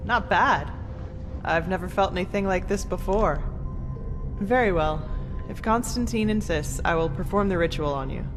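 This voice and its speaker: A woman's voice: lowish voice, expressive, normal speed, natural voice. A woman voicing a blunt, sarcastic, foul-mouthed female mage researcher in a video game. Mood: wry, dismissive. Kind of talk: video game character dialogue. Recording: reverberant hall, loud music behind.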